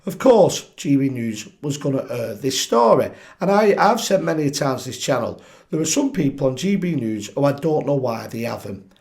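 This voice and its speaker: Croaky-voiced male vlogger, mid-range pitch, opinionated and direct to camera. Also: moderate pace. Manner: animated, asks viewers directly, frequent restarts. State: croaky voice from chest infection. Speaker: political commentary channel host. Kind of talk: opinionated solo commentary to camera.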